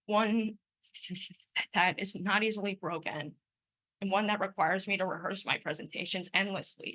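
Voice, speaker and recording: A young woman in her twenties delivers a prepared personal talk in a bright, forward voice. She speaks with a stutter, so sounds sometimes repeat before she pushes on. Her delivery stays earnest and emphatic. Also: medium pitch, rough recording. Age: 20s. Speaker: conference speaker.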